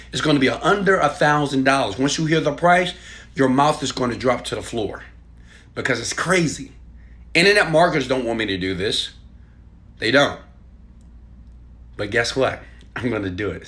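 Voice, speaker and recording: Bright, forceful man selling with motivational zeal. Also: mid-range voice. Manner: emphatic, insistent, speaking directly to viewer. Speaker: online marketer pitching a program. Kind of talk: motivational sales pitch to camera.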